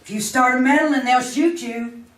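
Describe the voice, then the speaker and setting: A woman's voice: high voice, normal speed, fairly bright tone. An actress playing a weary, plainspoken older frontier woman with a rural Southern American hill accent, curt and blunt, in a 1950s Western radio drama. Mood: grim, worried. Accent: American rural Southern hill country. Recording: roomy, distant, hissy old radio recording.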